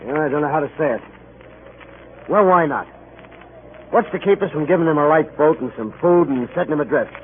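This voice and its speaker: An actor playing a blunt, insistent ship's mate in an old radio drama, persuasive and insinuating. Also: male voice, a little high, animated, brisk, pauses often, lightly acted. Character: scheming, insistent ship's mate urging his captain. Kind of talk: 1940s radio acting. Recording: tinny, noisy old radio broadcast.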